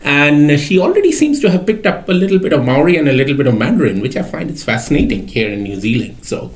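A middle-aged man from India, a lecturer speaking methodically with frequent "uh" pauses as a podcast guest. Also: on the high side, very animated. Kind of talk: podcast interview.

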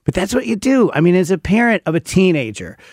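A man's voice: high-pitched, sing-song, fast talker, a little mellow, no room sound, studio quality. A man in his fifties telling personal stories conversationally, in a self-deprecating and emphatic way. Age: 50s. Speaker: podcast guest, parent of a teenager. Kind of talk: candid conversational storytelling.

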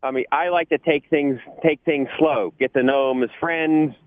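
A man's voice: on the high side, fairly even intonation, moderate pace, dry recording. A man calling in to a radio advice show, hesitant and searching for words. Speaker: caller. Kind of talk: phone call to a radio show. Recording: tinny phone line.

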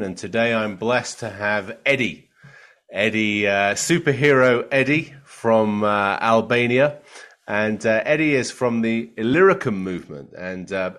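A man hosting an interview as a pastor, upbeat and chatty with many filler sounds. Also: lowish voice. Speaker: host, pastor. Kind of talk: interview host.

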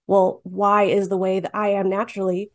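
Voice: mid-range voice, monotone, moderate pace, dry recording, clean studio sound. A cartoonist in their late twenties from Michigan, answering interview questions in a chatty way with lots of fillers. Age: late 20s. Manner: chatty, many fillers, laughs often. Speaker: guest cartoonist.

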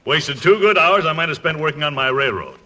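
An actor playing a crusty, hearty older college trustee, gruff and impatient, in a 1940s radio comedy. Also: male voice, on the high side, expressive, brisk, pushed and bright. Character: blustery, crusty, jovial old college trustee. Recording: faintly noisy old 1940s radio recording.

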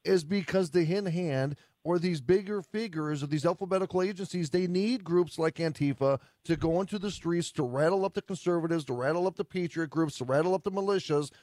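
A man's voice: fairly high pitch, brisk, hardly any pauses, a little mellow, no room sound. A man co-hosting a talk radio show, speaking in an impassioned, emphatic way. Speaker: radio co-host. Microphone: studio mic.

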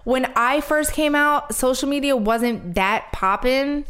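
A woman's voice: high voice, slow, fairly bright tone, smooth. A woman from Philadelphia co-hosting an advice show, upbeat and encouraging with callers. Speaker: co-host.

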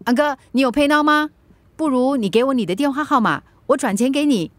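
Woman acting a high-voiced, chatty young Singaporean woman. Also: brisk, no room sound, close to an everyday voice. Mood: insistent. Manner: chatty, polite, fussing. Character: chatty, eager young woman, kind-hearted and helpful. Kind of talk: radio drama acting.

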